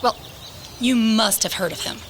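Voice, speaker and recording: A woman's voice: a little high, normal speed, lightly acted. A woman acting in a radio drama as an eager, chatty, admiring young woman who likes to insist. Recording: noisy radio play recording.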